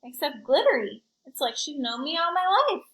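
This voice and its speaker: A woman's voice: high-pitched, very animated, normal speed, a bit bright, pitched above the performer's own voice, heavily acted. A woman voicing a shy, earnest, childlike male school building in a children's storybook read-aloud. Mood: amazed.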